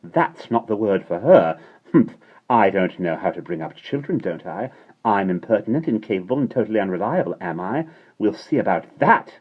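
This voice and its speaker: A man voicing a stern, prim nanny woman with sharp, sniffing indignation, on tinny phone-like audio. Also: low-pitched, sing-song, brisk, hardly any pauses, breathy edge, full character voice. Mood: indignant.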